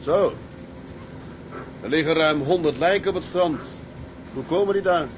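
An actor playing a righteous, solemn ship's captain with a terse way of talking in a maritime drama. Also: male voice, fairly high pitch, measured pace, background noise, close to an everyday voice. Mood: accusing. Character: grave, righteous ship's captain with moral conscience. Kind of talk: dramatic seafaring acting.

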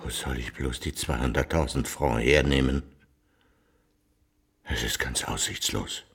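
Radio drama: dejected aging philanderer baron, rock-bottom pitch, heavy rasp and creak. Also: male voice, fairly even intonation, measured pace, fairly bright tone, faint music behind, full character voice. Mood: despairing. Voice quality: gravelly, husky.

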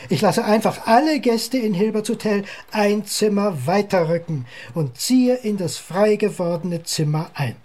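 A cranky, self-certain time-travel theorist in a radio play. His husky voice sits fairly high for a man, and he lectures insistently, with arrogant impatience and condescension. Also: lively intonation, unhurried, few pauses, darkish tone. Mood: impatient, condescending. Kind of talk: German radio drama dialogue.

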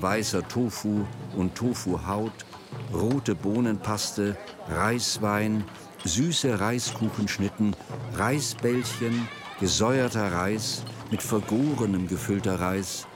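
A man narrating a radio drama in a measured, literary storytelling manner. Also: on the low side, music in the background.

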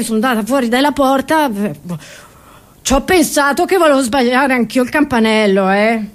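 High-pitched, grumbling old granny, played by a woman. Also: lightly acted. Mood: exasperated. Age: older. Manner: rambling, repetitive, stammering indignant grumbles. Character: chatty, grumbling, half-deaf elderly Italian grandmother. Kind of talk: comic stage monologue.

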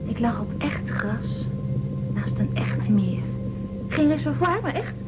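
A trusting young spacewoman played by an actress in a science-fiction radio play. Her voice is high and emotional, with a dreamy innocence. Music swells strongly underneath. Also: very animated, takes it slowly. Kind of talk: scripted audio drama acting.

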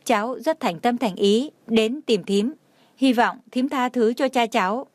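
A woman's voice: a little high, unhurried, darkish tone, no echo. A woman voicing a humble, remorseful young woman in an audiobook, pleading tearfully for forgiveness.

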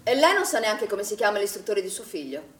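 An actress playing a firm, defensive director of a swimming pool in a naturalistic stage drama, speaking formally. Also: female voice, medium pitch, big pitch swings, a little fast, lightly acted. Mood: indignant, probing. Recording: roomy, distant stage recording with noise.

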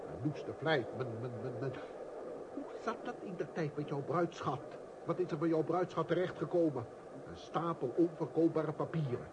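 An actor playing a middle-aged, henpecked shopkeeper in a radio play, querulous, blustering and full of self-pity. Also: male voice, on the high side, normal speed, close to an everyday voice. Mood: indignant self-pitying. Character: grumbling, self-pitying small shopkeeper, henpecked husband and father. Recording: noisy old radio play recording.

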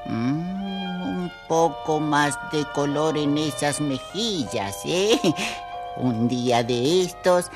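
A woman's voice: low-pitched, slow, over music. An actress voicing a jolly, motherly sun in a children's audio storybook, playful and teasing. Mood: teasing, affectionate. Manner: playful, teasing, laughing often between phrases. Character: jolly, motherly personified sun cheering on small ones.

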